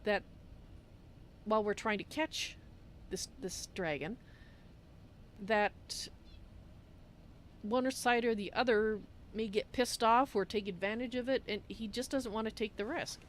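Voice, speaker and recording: A woman's voice: on the high side, a little slow, pauses often, a little hiss or hum, lightly acted. A woman voicing a polite, chatty female cat-folk adventurer in improvised fantasy game roleplay, referring to herself in the third person. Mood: earnest, persuasive. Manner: speaks of self in third person. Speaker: roleplay streamer.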